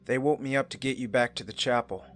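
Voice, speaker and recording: A man voicing a young, brooding angel soldier in a visual novel, speaking in a measured monotone. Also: mid-range voice, close to an everyday voice. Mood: grave. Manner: flat, monotone, measured delivery. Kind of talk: scripted visual novel narration and dialogue.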